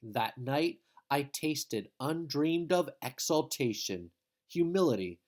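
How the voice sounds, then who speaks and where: A man's voice: fairly high pitch, animated, takes it slowly, a little mellow. A man voicing a passionate, determined young Mexican artist and dancer in a storybook. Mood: exalted.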